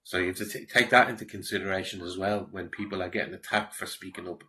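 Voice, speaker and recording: A man's voice: deep voice, steady tone, quick pace, pushed and bright, a little hiss or hum. An Irish man giving casual commentary in a blunt, conversational manner. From Ireland.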